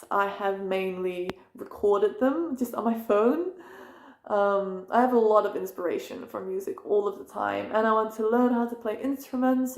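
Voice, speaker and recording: A woman's voice: on the high side, reverberant. A young woman, talking casually to camera in a rambling, self-correcting way with many fillers. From Netherlands. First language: Dutch. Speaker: vlogger. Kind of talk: casual personal talk to camera.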